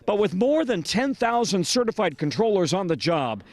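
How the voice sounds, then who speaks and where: An American man reports the news in a high-pitched voice. His scripted correspondent delivery moves widely up and down in pitch. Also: very animated, moderate pace, dry recording.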